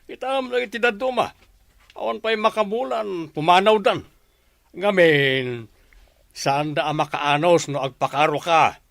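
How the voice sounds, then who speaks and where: Gruff rich father, gravelly voice, dry sound, radio drama. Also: high voice, measured pace, fairly bright tone, no room sound, exaggerated voice. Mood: scolding. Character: strict wealthy father Romeo.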